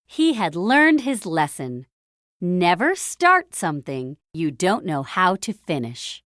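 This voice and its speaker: A woman reads a children's story aloud as its narrator. Her delivery is lively and melodic, moving at a measured, slightly slow pace. She leans on key phrases for dramatic emphasis, and the sound is dry with no room echo. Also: on the low side.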